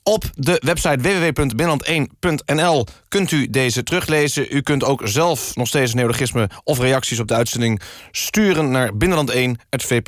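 A man presenting a mock radio magazine in a deadpan, mock-formal way with small hesitations. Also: medium pitch, no echo, clean studio sound. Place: radio studio.

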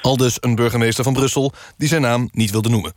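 A man playing a serious radio newsreader, delivering a scripted satirical bulletin in deadpan fashion. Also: medium pitch, quick pace, lightly acted. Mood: deadpan, solemn. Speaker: news presenter.

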